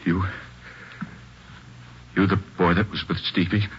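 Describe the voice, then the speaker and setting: An American actor playing a middle-aged grieving father in a 1940s radio drama, speaking with halting, heavy restraint. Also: low-pitched, level tone, slight background noise. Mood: stern, stricken. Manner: halting, heavy, plain-spoken. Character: grieving, restrained father of a dead young boy. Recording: muffled vintage 1940s radio recording.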